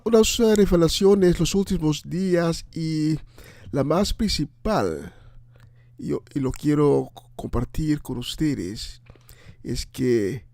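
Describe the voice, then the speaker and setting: Guy with doing radio preaching and shoutouts, kinda creaky voice, halting but emphatic, dry close sound. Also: a little high, no echo. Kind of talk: informal on-air preaching and greetings.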